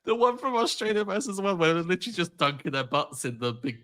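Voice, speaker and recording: Easygoing man with a lively voice. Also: high-pitched, fast talker. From outside the United States. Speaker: podcast co-host. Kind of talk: casual chat.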